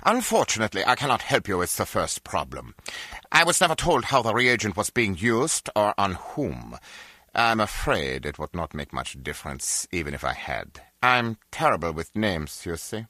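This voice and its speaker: A man's voice: on the low side, sing-song, normal speed, bright, forceful tone, no echo, studio quality, exaggerated voice. An actor playing a polite, mild-mannered male scientist with formal, apologetic speech in a video game. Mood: apologetic, explaining.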